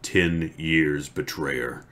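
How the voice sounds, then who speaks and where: Man reading a grief-struck vengeful hero, soft, low, hushed, deep. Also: drawn-out words, full character voice. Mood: cold. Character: grieving male hero, cold and quietly vengeful.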